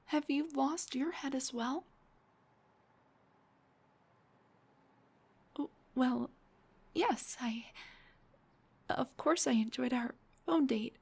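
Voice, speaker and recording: Breathy, high-voiced headless monster girl, acted by a woman. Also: takes it slowly, heavily acted. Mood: hesitant, surprised. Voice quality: breathy, often dropping to a whisper. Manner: hesitant, stammering, joking. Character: embarrassed, self-deprecating headless dullahan monster woman on a date. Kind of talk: intimate audio roleplay acting.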